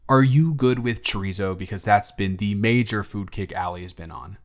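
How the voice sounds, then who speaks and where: A young man playing a grateful single father who talks softly and teases gently in a romantic audio story. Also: on the low side, normal speed, lightly acted. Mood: tender, playful. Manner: soft-spoken, gently teasing. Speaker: audio drama performer.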